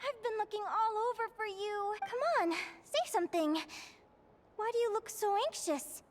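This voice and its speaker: A woman voicing an earnest, excitable young heroine in an anime video game, expressive and determined. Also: extremely high pitch, normal speed. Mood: confused, worried. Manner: expressive, exclamatory.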